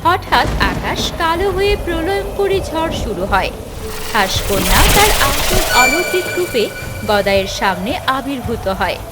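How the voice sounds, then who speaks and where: A woman's voice: high voice, big pitch swings, moderate pace, over loud music. A woman narrating a cartoon fairy tale in a dramatic, scripted storytelling style.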